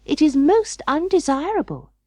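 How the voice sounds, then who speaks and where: A woman's voice: high-pitched, big pitch swings, moderate pace, mellow, no room sound, voice pushed up. A woman voicing a prim, fussy female cat hostess in a storybook reading, proper and emphatic. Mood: indignant, firm. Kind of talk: audiobook storybook character voice.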